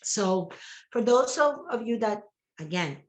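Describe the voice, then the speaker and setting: A woman's voice: mid-range voice, lively intonation, measured pace. A middle-aged woman with a Puerto Rican Spanish accent, whose first language is Spanish, giving an enthusiastic motivational talk to a business team and often adding "okay?". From Puerto Rico. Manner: enthusiastic, repeats words and tags "okay?". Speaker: network marketing director.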